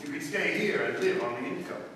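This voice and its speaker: Guy playing a shrewd formal detective in a radio play, slightly high voice, very echoey and far from the mic. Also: animated, a little fast, bright, forceful tone. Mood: smooth, assured. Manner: formal, assured, precise. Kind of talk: old-time radio drama acting. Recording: echoey, distant, noisy radio play recording.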